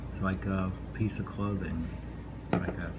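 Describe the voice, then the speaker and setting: A man reading poetry informally, unhurried and rambling, repeating lines and adding offhand commentary. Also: deep voice, little pitch movement. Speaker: poetry reader. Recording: noisy room ambience, amateur home recording.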